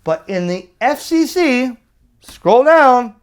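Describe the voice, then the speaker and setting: A man giving an opinionated rant as a video host, emphatic and argumentative, with rhetorical questions and pauses for effect. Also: very high voice, very animated, smooth. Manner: exasperated, argumentative. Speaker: amateur radio YouTube host.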